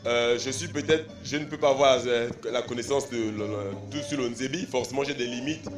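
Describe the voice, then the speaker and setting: A young man from Gabon answers interview questions on the spot, halting and restarting his sentences. He sounds distant from the microphone, with a roomy echo and clear music playing behind him. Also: mid-range voice, steady tone. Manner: hesitant, many fillers and restarts. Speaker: language stand organizer. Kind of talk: field interview.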